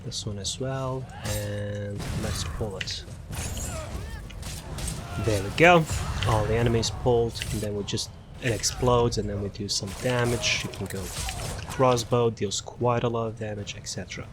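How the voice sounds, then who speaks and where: A man casually walking through a game character build, halting often with fillers and restarts. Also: lowish voice, steady tone, background noise. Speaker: gaming channel host.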